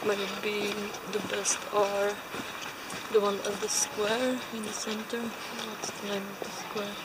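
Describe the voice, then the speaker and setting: A young woman speaks English in a measured, hesitant way, keeping her voice level and flat. She stands beside a companion's phone on a city street. Heavy traffic noise nearly swamps her. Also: mid-range voice. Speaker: co-reviewer. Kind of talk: casual chat. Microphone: phone held by companion. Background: traffic and street ambience.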